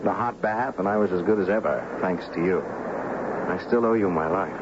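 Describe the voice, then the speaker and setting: A man's voice: deep voice, fairly quick, close to an everyday voice. An actor playing a wealthy, restless young man in love, speaking and narrating earnestly in a 1970s radio drama. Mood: tender, curious. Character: wealthy, restless young heir in love, recounting his story. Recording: noisy, dull 1970s radio broadcast recording.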